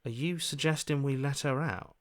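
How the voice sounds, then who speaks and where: A man's voice: medium pitch, normal speed, close to an everyday voice. A man playing an earnest, hopeful hero's inner voice in a video game, questioning and pleading as he talks.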